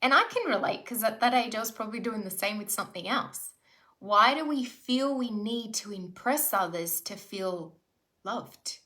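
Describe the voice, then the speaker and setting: A woman's voice: medium pitch, animated, moderate pace, sharp tone. A middle-aged woman leading a live online self-development workshop, talking conversationally and checking in with rhetorical questions. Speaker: spiritual life coach.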